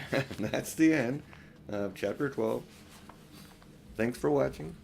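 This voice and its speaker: A man's voice: medium pitch, slow, creaky, a little mellow, slight background noise. Older man reading a storybook aloud. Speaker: amateur audiobook reader. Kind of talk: storybook narration.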